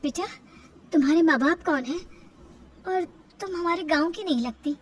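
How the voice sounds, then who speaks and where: A woman acts out a kind village mother in a moral cartoon tale. Her voice is high and motherly, with firm principles and a protective streak. There is slight background noise. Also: moderate pace, distant mic. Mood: tender. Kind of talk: Hindi moral-story cartoon dub.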